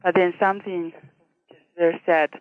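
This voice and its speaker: A woman from China and Taiwan, calling into a radio show and speaking haltingly with many fillers. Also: lowish voice, flat delivery, no echo. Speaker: caller. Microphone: telephone. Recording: tinny phone line.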